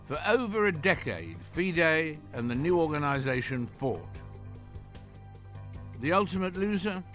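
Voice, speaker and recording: A man narrating a documentary script in a measured, dramatic way and hosting the interview. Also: fairly high pitch, big pitch swings, a bit bright. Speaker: host and narrator. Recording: narration over clear music.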